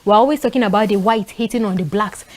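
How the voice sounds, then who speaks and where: A young woman from northern Nigeria with a Nigerian accent, speaking as a talk show panelist and telling stories in an animated way, with frequent fillers. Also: medium pitch, racing. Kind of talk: casual panel discussion.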